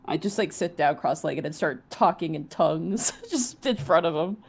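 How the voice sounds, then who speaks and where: A woman's voice: deep voice, animated, moderate pace, a little mellow, husky. A woman talking casually as a player in a tabletop role-playing game.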